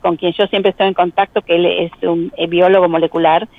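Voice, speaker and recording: A woman with a provincial Buenos Aires accent explaining things as an expert interviewee, in measured, hesitant phrasing. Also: lowish voice, flat delivery, dry recording, narrow, tinny audio. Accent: provincial Buenos Aires Argentine. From Argentina.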